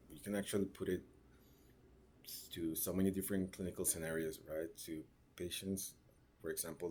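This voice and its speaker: A man gives a class presentation. He pauses often and searches for words, checking in with short tag questions as he goes. A faint hiss of noise sits under the recording. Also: very deep voice, dark tone. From Mexico (raised in Ciudad Juárez). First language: Spanish. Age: 30s. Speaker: registered nurse.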